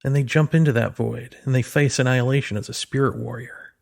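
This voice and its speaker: A man's voice: mid-range voice, fairly even intonation, fairly quick, creaky, a little mellow. A man delivering an opinionated philosophical monologue in a sardonic way, leaning on rhetorical questions and emphatic repetition. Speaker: commentator delivering a solo monologue.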